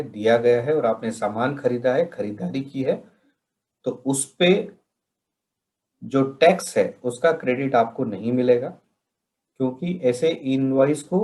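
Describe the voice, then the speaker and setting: A man from India presenting a question-and-answer tutorial in a deliberate way, pausing between phrases. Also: mid-range voice, darkish tone. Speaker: presenter of a software training session.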